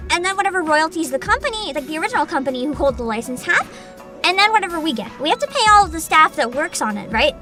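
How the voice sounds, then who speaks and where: A young woman streaming casually, answering chat questions with frequent giggles and a playful mock-boss attitude. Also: very high voice. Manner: giggly, animated, frequent laughter and restarts; playful mock-CEO boasting. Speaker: vtuber streamer.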